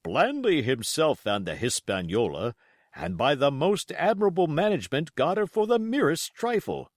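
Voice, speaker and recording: A man voicing a pompous, excitable country squire reading out his letter in an emphatic, blustering storybook manner. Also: on the high side, moderate pace, no echo, clean studio sound, voice pushed up. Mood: boastful, enthusiastic.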